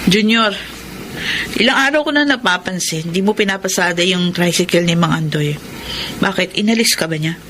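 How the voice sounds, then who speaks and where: A woman's voice: on the low side, normal speed, noisy background, natural voice. A woman acting a burdened, dutiful daughter and scolding elder sister in a Filipino radio drama. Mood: suspicious, stern.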